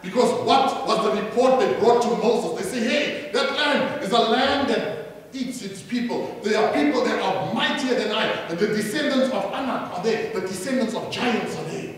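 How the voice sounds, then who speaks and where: High-voiced South African pastor preaching. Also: male voice, lively intonation, moderate pace. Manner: rising to loud preaching calls, crowd prompts. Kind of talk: sermon. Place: church stage. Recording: echoey hall from far off.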